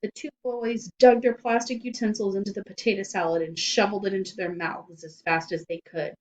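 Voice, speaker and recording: A woman storyteller reading a children's book aloud in animated scripted narration. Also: medium pitch, a little fast, low-quality recording.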